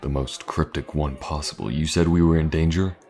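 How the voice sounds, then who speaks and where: Man playing very deep, gravelly fantasy mercenary. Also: steady tone, fairly quick, a little mellow, soft background music. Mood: skeptical. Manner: dry, terse, confident. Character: gruff, wary, self-assured mercenary swordsman protecting a companion. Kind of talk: fantasy audio roleplay acting.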